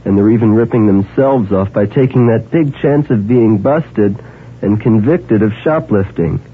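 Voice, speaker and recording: A man's voice: fairly low pitch, unhurried, breathy edge. A man reading a scripted public service announcement, earnest and persuasive, putting direct questions to the listener. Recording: tinny old AM radio broadcast.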